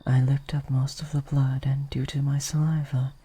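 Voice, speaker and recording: A woman voicing a gentle but possessive vampire lady in an intimate roleplay, soft-spoken and often whispering, with formal, old-fashioned, coaxing phrasing. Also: a bass voice, flat delivery, slightly rough, heavily acted. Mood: tender, possessive. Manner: formal, archaic, slow, coaxing.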